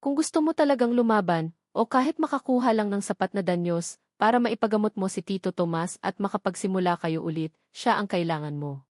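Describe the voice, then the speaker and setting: A woman's voice: medium pitch, lively intonation, fairly quick, no room sound, clean studio sound, close to an everyday voice. A woman voicing a scheming, falsely caring best friend in a romance audio drama, urging and persuasive.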